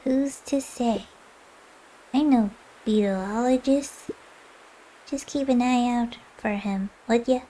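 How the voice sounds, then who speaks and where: A woman plays a worried, wry young boy in a storybook game. The voice is high and soft-toned, speaking slowly and hesitantly. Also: slight background noise, rough recording. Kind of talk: video game character read-aloud.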